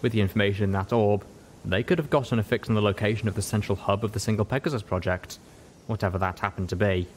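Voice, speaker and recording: A man's voice: on the low side, quick pace, a little hiss or hum. A man reading first-person fiction aloud as a scripted audiobook narration. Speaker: audiobook reader.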